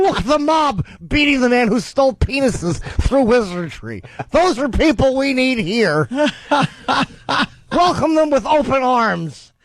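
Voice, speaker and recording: A man's voice: very high voice, no echo, heavily acted. A man voicing a mock-pompous, self-pitying mystical oddball with an affected, distorted-mouth voice in a comic talk radio bit. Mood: mock-earnest.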